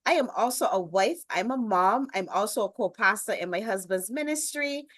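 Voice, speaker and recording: A Barbadian woman in her thirties speaks over a video call, expressive and emphatic as she introduces herself. Her voice sits at an average pitch. The sound is dry and close, with no room around it. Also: normal speed, no echo. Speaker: guest, business lifestyle strategist. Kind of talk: interview, personal introduction.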